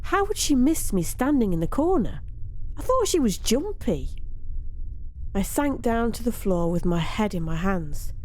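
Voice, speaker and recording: A woman reading a first-person story aloud in an expressive, theatrical storytelling manner. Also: medium pitch, measured pace. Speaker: story narrator.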